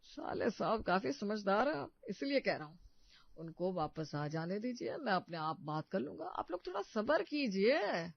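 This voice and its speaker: A woman voicing a smooth-talking, evasive young husband in a cartoon story, pleading and placating. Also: mid-range voice, sing-song, moderate pace, no echo, highs cut off.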